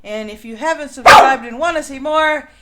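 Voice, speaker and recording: A woman's voice: high voice, normal speed, heavy background noise. A woman hosting a show, chatting casually and reading out background notes. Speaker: host of a riffing show.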